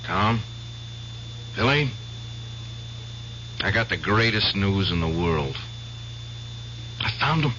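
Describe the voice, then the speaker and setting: Deep, forceful, vengeful radio veteran. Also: male voice, animated, slow, pauses often, slight background noise, heavily acted. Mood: intense, resolved. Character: obsessed, vengeful middle-aged war veteran. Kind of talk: old-time radio drama acting. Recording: dull lo-fi radio drama recording.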